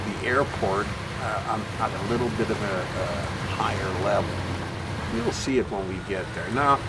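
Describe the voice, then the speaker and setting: A man giving casual, folksy gameplay commentary, explaining things step by step as a channel host. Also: medium pitch. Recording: clear steady engine and vehicle noise. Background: truck engine sounds from the video game.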